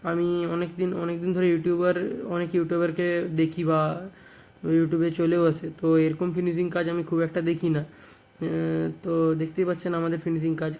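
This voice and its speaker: A young man with a West Bengal Bengali accent, casually presenting repair work in a halting, repetitive way, phrase by phrase. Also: fairly high pitch, a little mellow. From West Bengal, India. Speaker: speaker repair technician and video presenter. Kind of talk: casual product showcase narration.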